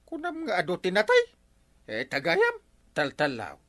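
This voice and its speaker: A blustering Ilocano village captain. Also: male voice, huge pitch swings, moderate pace, a bit bright, exaggerated voice. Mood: scolding. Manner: exclaims and scolds with wild pitch swings. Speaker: barangay captain. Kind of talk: Ilocano radio comedy drama.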